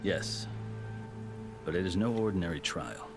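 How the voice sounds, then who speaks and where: A man playing a seasoned, proud warrior turned lawgiver in a video game, telling his tale in measured, formal, eloquent phrases. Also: sits low, animated, creaky, exaggerated voice. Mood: grave storytelling. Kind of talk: scripted video game cutscene dialogue. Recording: music behind the voice.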